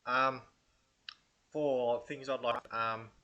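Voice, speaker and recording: A man's voice: medium pitch, steady tone, extremely slow, bright, forceful tone, dull audio. A man with a head cold, talking casually and hesitantly through ideas for a game video, full of fillers and restarts. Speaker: gaming channel host. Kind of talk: casual unscripted commentary.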